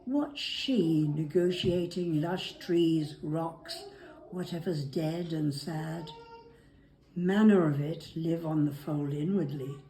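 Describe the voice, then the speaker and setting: Mellow, slow, low-voiced woman reading. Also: low-quality recording. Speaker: family member reading poetry. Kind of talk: amateur poetry reading. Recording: amateur recording with faint background noise.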